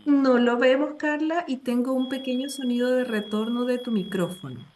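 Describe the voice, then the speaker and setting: A woman's voice: sits high, moderate pace, a little mellow, a little hiss or hum. A woman hosting an online session in a formal, courteous presenter style over a video call. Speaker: session host.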